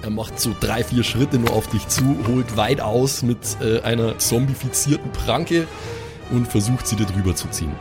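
A man's voice: medium pitch, normal speed. A man working as game master, narrating an improvised tabletop game in casual German with English gaming slang mixed in. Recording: music behind the voice. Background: music.

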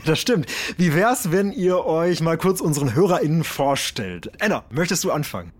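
An actor playing an eager, chatty podcast host in a radio drama, with a husky voice. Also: male voice, a little high, animated, normal speed. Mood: friendly, interested. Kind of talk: radio drama acting as casual interview.

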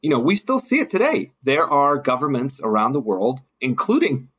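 Reflective man with a slightly husky voice. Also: mid-range voice, huge pitch swings, moderate pace, a bit bright. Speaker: guest, city council member. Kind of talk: conversational podcast discussion.

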